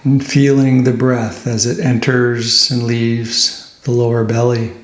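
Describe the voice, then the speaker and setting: A man guides a meditation in a very even, level voice. He speaks slowly and gently, leaving pauses between phrases as a teacher would. The sound is roomy, with an audible echo around his mid-pitched voice. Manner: gentle, unhurried instruction with pauses.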